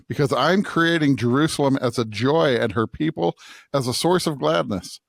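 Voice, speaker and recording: A man's voice: medium pitch, creaky, dry recording, studio quality. A man, co-host of a podcast, reading a scripture passage aloud.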